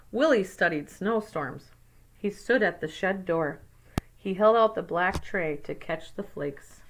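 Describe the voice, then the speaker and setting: A woman's voice: mid-range voice, a bit bright, slight background noise, low-quality recording. A woman teacher reading a picture book aloud to young children, adding simple explanatory asides. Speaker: second grade teacher.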